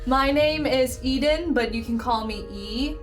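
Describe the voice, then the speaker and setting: A woman's voice: sits high, unhurried, over loud music. A woman playing a tabletop roleplaying game, chatting casually in character with a playful, blunt manner.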